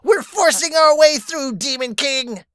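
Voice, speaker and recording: A man voicing a loud, excitable male beast-man warrior from a manga, shouting his lines eagerly. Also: very high-pitched, a little slow, no echo, clean studio sound, pitched above the performer's own voice, exaggerated voice.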